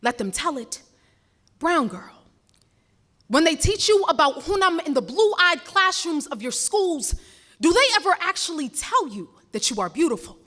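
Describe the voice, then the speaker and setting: High-voiced young woman spoken word poet. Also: very animated, measured pace, sharp tone. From Ghana. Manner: rhythmic, impassioned delivery with repeated words. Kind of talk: live spoken word poetry performance. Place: stage at a live campus event.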